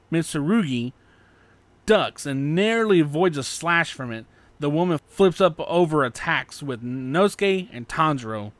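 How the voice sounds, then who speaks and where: Higher-voiced man narrating a story, dry recording. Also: fairly bright tone, dry recording. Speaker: fan fiction audiobook narrator.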